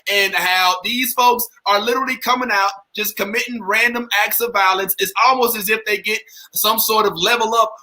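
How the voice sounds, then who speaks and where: A man's voice: high voice, steady tone, moderate pace, few pauses, pushed and bright. An American man hosting a casual chat, talking in a slangy way and putting questions to his guests. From St. Louis, Missouri, US. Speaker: podcast host.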